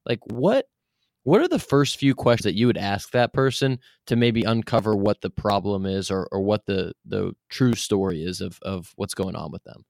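A man's voice: on the low side, lively intonation, moderate pace, creaky, a little mellow, no room sound, clean studio sound. A man hosting an interview podcast in casual chat, with informal, loosely phrased questions that meander.

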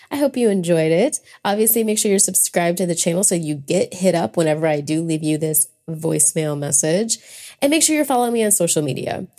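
A woman's voice: fairly low pitch, creaky, warm tone. An American woman hosting a casual solo podcast, talking in a chatty, informal, rambling way. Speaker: podcast host.

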